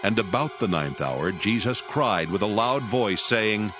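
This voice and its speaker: Deep solemn male scripture narrator. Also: animated, over music. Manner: solemn, measured reading.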